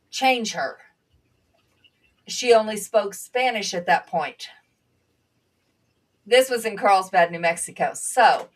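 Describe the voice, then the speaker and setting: A woman whose first language was Bulgarian, a panel guest and former nursing assistant, speaking earnestly and reflectively with halting restarts. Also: medium pitch, recorded from a distance. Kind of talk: conversational panel talk.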